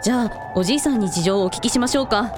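A woman playing a caring, take-charge shrine priest in a radio drama, asking questions with brisk concern. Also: mid-range voice, music in the background, lightly acted.

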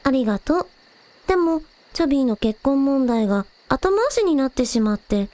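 A woman's voice: sits high, lively intonation, normal speed, darkish tone, no echo. A woman playing a spirited, caring young village girl who leads her team, casual and earnest, in a radio drama. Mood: apologetic, fond.

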